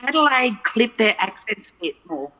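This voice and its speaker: A woman's voice: on the high side, sing-song, normal speed, pushed and bright, poor audio. A woman from Melbourne with an Australian accent, chatting casually and thinking aloud as she explains things. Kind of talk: casual chat.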